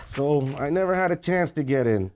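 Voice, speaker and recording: Man acting a desperate, blustering, higher-voiced uncle. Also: lively intonation, normal speed, darkish tone, natural voice. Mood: desperate, scheming. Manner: blustering, pleading. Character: desperate, scheming middle-aged uncle, small-time gambler in debt. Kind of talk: comedy script table read.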